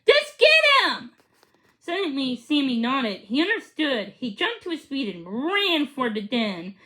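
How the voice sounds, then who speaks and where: A woman with a high, light-pitched voice reads a children's book aloud. She goes slowly and haltingly, stumbling on words and picking them up again. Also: very animated, a bit bright. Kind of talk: read-aloud of a children's storybook.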